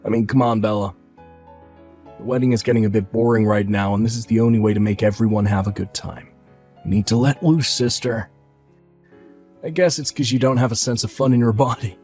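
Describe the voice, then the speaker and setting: A man's voice: fairly low pitch, steady tone, fairly quick, darkish tone, breathy edge, soft background music. A man voicing a careless younger brother who mocks his sister dismissively. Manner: dismissive, mocking.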